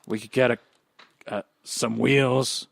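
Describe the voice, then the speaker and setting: A man with a gravelly, husky voice improvising in character in a tabletop game, halting and repeating words. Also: mid-range voice, steady tone, dry recording, studio quality. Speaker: player in a tabletop roleplay game.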